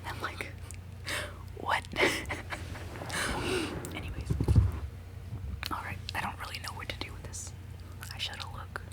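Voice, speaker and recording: A woman's voice: unhurried, long pauses. Whispering young woman, ASMR chat. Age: 20s. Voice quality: decently low voice. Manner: whispering, chatty, giggly, rambling. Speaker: ASMR creator. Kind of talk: whispered ASMR chat while doing makeup.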